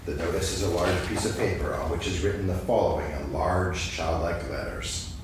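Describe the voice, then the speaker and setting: A man narrating a staged reading of a children's story, in a measured, expressive storytelling manner. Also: low-pitched, lightly acted. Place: on stage in a hall, recorded from afar. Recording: echoey hall, distant, noisy archival recording.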